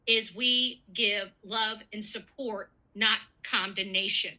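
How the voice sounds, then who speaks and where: A woman's voice: medium pitch, fairly even intonation, slow, sharp tone, rough recording. A woman giving casual online commentary in an emotional, dramatic manner.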